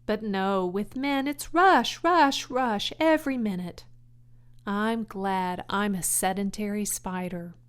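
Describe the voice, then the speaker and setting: A woman's voice: mid-range voice, slow pace, clean tone, natural voice. A woman voicing a wise, kindly female spider in a children's storybook, matter-of-fact and articulate. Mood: opinionated.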